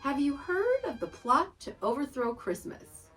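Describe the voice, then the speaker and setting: A woman's voice: high-pitched, sing-song, measured pace, fairly bright tone, recorded from a distance, soft background music. A woman hosting a podcast, casually explaining and introducing shows in an informative way. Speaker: podcast host.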